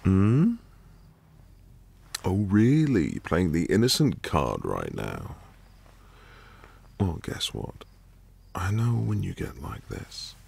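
Man acting as teasing dominant boyfriend, ASMR roleplay, low voice, heavy vocal fry, breathy murmurs, intimate hums. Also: big pitch swings, takes it slowly, long pauses, darkish tone, exaggerated voice. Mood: playful, teasing. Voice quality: breathy, gravelly murmur often dropping to whisper. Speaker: audio roleplay performer.

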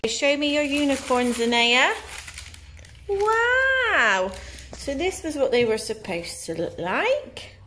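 A high, bright-pitched woman speaks slowly and encouragingly to a child at a home kitchen table. She is off camera, and a faint hiss sits under her voice. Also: very animated. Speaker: parent filming a child's baking video. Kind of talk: cheerful home vlog chat.

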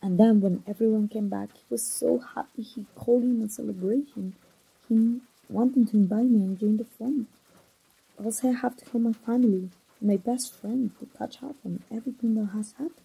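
A young woman voicing an engaged woman confiding in an old friend, in a soft, breathy, close ASMR roleplay style. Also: on the high side, fairly quick, exaggerated voice, low-quality recording. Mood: wistful, confiding. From Mexico.

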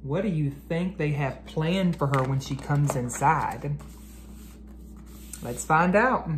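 American man, thirties, children's storyteller, unhurried, deliberate diction, echoey living room, background noise. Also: fairly high pitch. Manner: deliberate, carefully enunciated. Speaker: educator reading aloud to children. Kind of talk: children's read-aloud, expressive storytelling. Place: living room at home, indoors. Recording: roomy echo, clear background noise.

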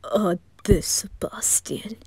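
Woman plays devilish male butler, unhurried, whispered formality, dry close sound. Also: mid-range voice, no room sound, exaggerated voice. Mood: soothing. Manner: soft whispery, formal and smooth. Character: suave devilish male butler, calm and devoted. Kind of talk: fan ASMR roleplay audio drama.

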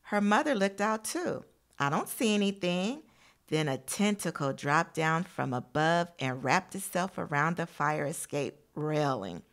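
A woman's voice: deep voice, expressive, measured pace, a bit bright. A woman teacher reading a picture book aloud expressively to young children, adding encouraging asides addressed straight to them.

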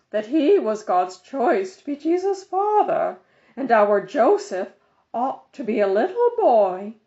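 A woman's voice: on the high side, a little mellow. A woman voicing a bossy, self-important middle-aged lady in a storybook, lecturing in a preachy, insistent way. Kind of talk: storybook character voice. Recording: dull, muffled lo-fi audio.

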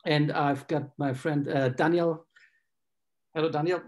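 A man hosts an interview over a webcam from a small room. His voice sits a little high for a man, and he fills his questions with frequent ums. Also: fairly even intonation, unhurried, darkish tone. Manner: friendly, many filler ums. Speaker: host, doctor. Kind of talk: hosting an online interview. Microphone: video call webcam.

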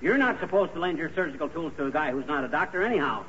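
Casting: wisecracking, boastful husband, fairly high voice, vintage radio comedy, muffled recording. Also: male voice, expressive, rapid-fire, fairly bright tone, slight background noise. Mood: defiant. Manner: brash, folksy, wisecracking. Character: stubborn, bumbling, boastful husband tinkering at home. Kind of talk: 1940s radio comedy acting.

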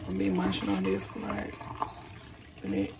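A young woman with a hoarse, raspy voice chatting casually to viewers while she cooks in her home kitchen. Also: medium pitch, big pitch swings, a little fast, heavy background noise. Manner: chatty, talks straight to viewers. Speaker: vlogger. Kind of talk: casual cooking vlog. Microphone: phone camera held close.

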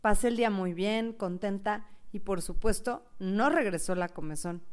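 Woman acting clear-voiced heartbroken young woman narrator. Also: mid-range voice, normal speed, natural voice. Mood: resolute, relieved. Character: heartbroken, anxious young woman telling her own story. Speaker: narrator and actress in an audio novel. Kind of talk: dramatized first-person radio novel narration.

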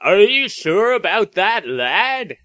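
Man putting on an odd-accented bartender, swooping pitch. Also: very animated, slow pace, pushed and bright, dry recording, studio quality, heavily acted. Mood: warning. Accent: odd, hard-to-place put-on accent. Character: bartender with odd undecipherable accent, slyly welcoming. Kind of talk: comic storytelling character voice.

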